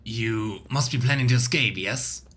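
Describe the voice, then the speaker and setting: A man streaming a video game, reading dialogue and narration aloud in a measured way with casual asides. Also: medium pitch, a crowd in the background. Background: game music.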